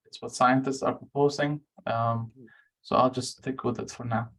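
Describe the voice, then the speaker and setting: A man's voice: mid-range voice, fairly even intonation, moderate pace, a bit bright. A man from Lebanon answering audience questions as a guest researcher, speaking frankly with frequent fillers and restarts.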